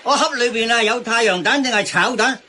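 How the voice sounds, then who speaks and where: A man's voice: very high-pitched, brisk, sharp tone, full character voice. A man acting a cocky, argumentative delivery man who shrugs off blame, in a Hong Kong Cantonese radio comedy.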